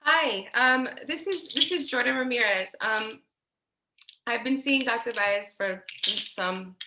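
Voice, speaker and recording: A woman acting a nervous patient who speaks haltingly and stammers in a staged play reading. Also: on the high side, background noise. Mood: nervous, hesitant. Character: nervous patient leaving a halting phone message. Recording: roomy, echoey sound.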